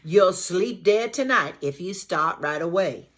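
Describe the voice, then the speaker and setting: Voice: mid-range voice, lively intonation, measured pace, a bit bright, pitched above the performer's own voice. A reader voicing a mother pig in a read-aloud storybook, firm and sing-song, in a put-on Cajun lilt. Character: bossy mother pig sending her sons off.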